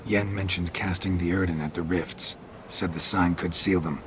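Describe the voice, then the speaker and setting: Seasoned warrior for a fantasy game, played by a man: very low male voice, terse and deadpan, unhurried calm, noisy ambient backdrop. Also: natural voice. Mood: measured, instructing. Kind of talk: video game dialogue.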